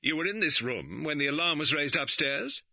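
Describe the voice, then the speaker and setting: Man doing a crisp impatient chief constable. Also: on the high side, lively intonation, normal speed, sharp tone, no echo, pitched above the performer's own voice, exaggerated voice. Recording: dull, dry studio recording.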